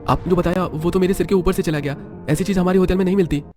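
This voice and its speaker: A man's voice: high voice, steady tone, quick pace, darkish tone, faint music behind, voice pushed up, close to an everyday voice. A man voicing a naive, indignant rustic waiter in a story, protesting in a plaintive, bewildered way. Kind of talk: cartoon moral-story acting.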